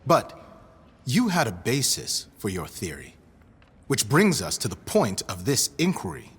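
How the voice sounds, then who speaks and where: A man's voice: mid-range voice, wildly swooping pitch, unhurried, fairly bright tone, husky, exaggerated voice. A man playing a stern, pompous inquisitor at an academic hearing in an audio drama. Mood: formal, probing. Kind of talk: formal audio drama interrogation.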